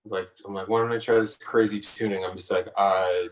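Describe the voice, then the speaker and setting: A man's voice: flat delivery, fairly quick, reverberant, far from the mic, rough recording. A man who is a singer-songwriter, talking in a hesitant, laid-back way with frequent laughs during a video-call interview. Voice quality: low voice. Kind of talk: relaxed conversational interview.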